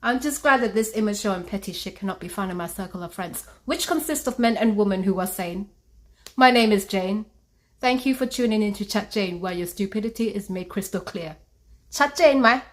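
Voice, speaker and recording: A woman's voice: medium pitch, fairly quick. A woman hosting and delivering commentary to her audience. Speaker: host. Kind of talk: video commentary.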